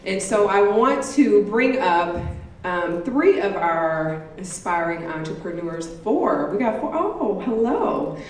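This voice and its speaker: A woman's voice: medium pitch, big pitch swings, takes it slowly, a little hiss or hum. A woman who organizes a program hosts a live event and speaks to the crowd directly in an upbeat way. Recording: echoey hall, distant mic.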